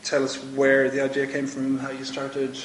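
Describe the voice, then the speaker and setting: A man's voice: medium pitch, completely flat delivery, normal speed, slight background noise. A man hosting a live talk show event, introducing a guest with frequent ums. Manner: hesitant, many ums. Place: event hall with audience. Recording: echoey room with faint ambience.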